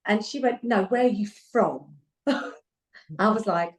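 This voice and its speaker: A woman in her forties with an English accent, chatting as a podcast guest, lively, laughing often and repeating herself. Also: medium pitch, slow. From England. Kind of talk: casual conversation. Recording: echoey room.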